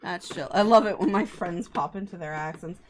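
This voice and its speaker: A woman's voice: lowish voice, moderate pace. A woman livestreamer reading a visual novel aloud and chatting casually, with playful, sweary asides. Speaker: livestreamer, VTuber. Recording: music behind the voice.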